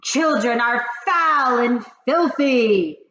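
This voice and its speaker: A shrieking, tyrannical witch queen, voiced by a woman reading aloud, barking orders in slow, stretched-out, high bellows. Her voice leaps up and down wildly. The recording sounds dull and lo-fi. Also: very animated, a bit bright, echoey room, heavily acted. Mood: ranting. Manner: slow, drawn-out, bellowing commands. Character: imperious shrieking female witch leader, tyrannical.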